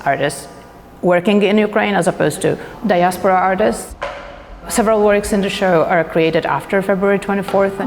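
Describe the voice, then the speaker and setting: A woman's voice: fairly low pitch, vocal fry, noisy background. A woman speaking as an exhibition curator in a documentary interview, recorded in a reverberant room. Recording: reverberant hall, faint music behind.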